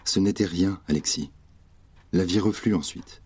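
An actor playing a steady, caring leader of a revolutionary cell in an audio drama, measured and reassuring. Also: male voice, low-pitched, husky, no room sound. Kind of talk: French audio drama acting.

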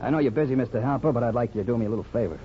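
A man's voice: medium pitch, racing, soft background music, close to an everyday voice. An actor playing a slick gangster henchman, polite but pressing, in a 1950s radio drama. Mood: smooth, insistent. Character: slick, deferential gangster henchman, adult man. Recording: muffled 1950s radio broadcast.

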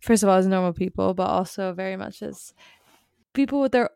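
Woman co-host, gravelly edge, dry close mic, reflective and hesitant. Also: medium pitch, brisk, mellow, no echo. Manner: thoughtful, hesitant, many ums and likes. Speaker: podcast co-host. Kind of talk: casual film discussion chat.

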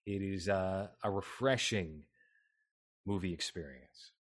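A man's voice: low voice, slow. A man hosting a casual film podcast, giving sardonic, film-buff recommendations. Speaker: podcast host.

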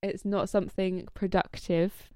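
A woman's voice: mid-range voice, flat delivery, a little slow, dark tone, clear, resonant tone, dry recording, clean studio sound. A woman co-hosting a podcast, chatting casually and encouraging the others with frequent giggles. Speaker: podcast co-host. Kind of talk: casual chat.

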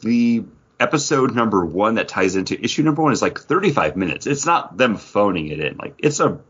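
A man co-hosting a casual comics podcast, talking in an animated way full of "like" fillers. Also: lowish voice, a little fast, breathy edge, highs cut off. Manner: animated, filler-heavy, frequent "like". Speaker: podcast co-host.

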